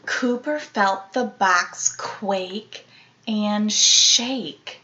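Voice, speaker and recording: A woman reading a children's picture book aloud like an elementary teacher, animated, with dramatic emphasis and playful sound-effect words. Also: mid-range voice, very slow, dull audio, a little hiss or hum.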